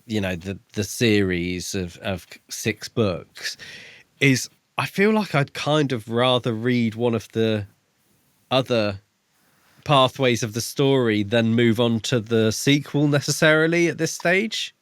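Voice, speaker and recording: A man's voice: medium pitch, a little slow, no echo, clean studio sound. A man, co-hosting a podcast in a casual book review chat. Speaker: podcast co-host.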